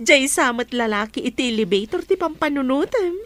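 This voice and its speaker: High, animated, teasing young woman played by an actress. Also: unhurried, a little hiss or hum, exaggerated voice. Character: playful, teasing young female boarding-house friend. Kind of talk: radio drama dialogue.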